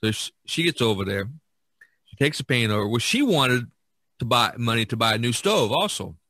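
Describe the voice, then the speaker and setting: Bright-voiced man telling stories casually. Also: mid-range voice, lively intonation, a little fast, dry recording. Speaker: podcast host. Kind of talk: casual conversational storytelling.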